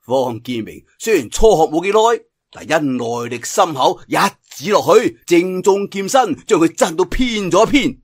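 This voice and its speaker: A man's voice: fairly high pitch, lively intonation, slightly rough, no room sound, studio quality. A man narrating a martial arts tale in theatrical, colloquial Cantonese storytelling style. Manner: theatrical, colloquial telling with drawn-out sentence particles. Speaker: audiobook storyteller.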